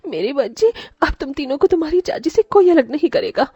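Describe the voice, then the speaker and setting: High-pitched, protective motherly aunt, acted by a woman. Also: big pitch swings, fairly quick, warm tone, no room sound, muffled recording. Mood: tender. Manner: earnest, emotional, emphatic. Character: loving, protective young aunt, firm and motherly. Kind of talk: dramatised moral story voice acting.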